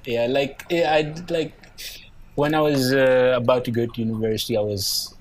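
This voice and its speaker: A man's voice: mid-range voice, steady tone, normal speed. A young man with a light Nigerian accent, chatting as a guest and rambling with lots of "like" and "yeah". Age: young adult. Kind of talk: casual conversation.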